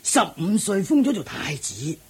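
An actor playing an anxious, doting middle-aged king and father in a Cantonese radio drama, with a Hong Kong accent and a husky voice. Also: high-pitched, very animated, normal speed, a little mellow, heavily acted. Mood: relieved.

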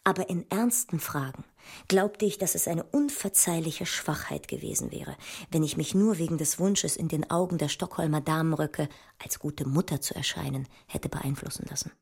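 A woman playing a principled, resolute nineteenth-century scholar and mother, reading her memoir in a formal, deliberate way. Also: sits low, creaky, a little mellow, slightly rough, exaggerated voice. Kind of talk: dramatised reading of a memoir letter. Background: music.

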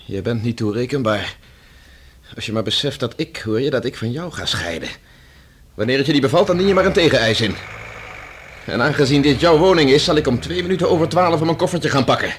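An actor playing a stern, contemptuous husband in a Dutch radio drama, speaking with biting authority. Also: male voice, mid-range voice, lively intonation, fairly quick, slight background noise, natural voice. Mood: stern anger.

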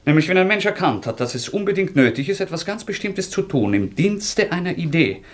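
An actor in a radio drama playing a feverish, obsessive young former student, halting and repeating himself with intensity. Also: male voice, high voice, big pitch swings. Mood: feverish, agitated. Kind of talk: German radio drama acting.

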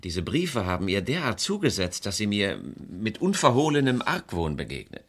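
An actor playing a worried middle-aged estate agent and estranged husband in a radio crime play, talking in a confiding, hesitant way. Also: male voice, lowish voice, wildly swooping pitch, normal speed. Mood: uneasy, confiding.

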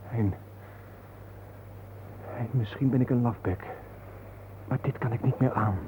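A man's voice: mid-range voice, unhurried, close to an everyday voice. An actor playing a weary, troubled family man in a radio drama, plainspoken and uneasy. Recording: narrow phone-like old radio recording, faint hiss.

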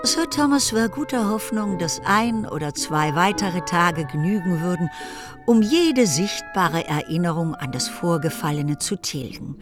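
A woman's voice: fairly low pitch, animated, unhurried. A woman narrating a literary radio drama in a scripted storytelling style. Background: music.

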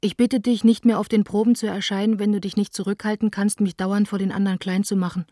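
Subdued, mellow young woman, frustrated and confiding an actress acts. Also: medium pitch, flat delivery, fast talker, no room sound, studio quality, close to an everyday voice. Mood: curt, defiant. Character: Anna, frustrated, defiant young theatre director writing letters. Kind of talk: radio play, letters read as intimate monologue.

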